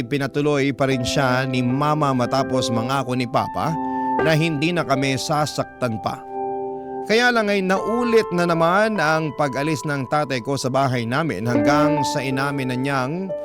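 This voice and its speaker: A man's voice: medium pitch, normal speed. Filipino male narrator over prominent music. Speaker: story narrator. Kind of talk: dramatic scripted first-person narration. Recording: music playing behind the voice. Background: prominent background music.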